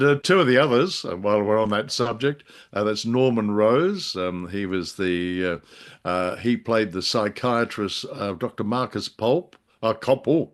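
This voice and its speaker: A man co-hosting a casual discussion, speaking in a wordy, halting and wry way. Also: on the low side. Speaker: podcast co-host.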